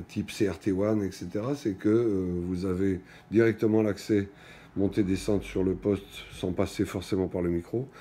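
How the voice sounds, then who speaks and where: A middle-aged man presents a product hands-on, unscripted. His voice sits very low and has a dark, relaxed tone. He speaks in halting stretches, stopping and picking up again, over a faint bed of room noise. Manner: halting, stop-and-go phrasing with trailing pauses. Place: indoors at a wooden table in a small shop or office.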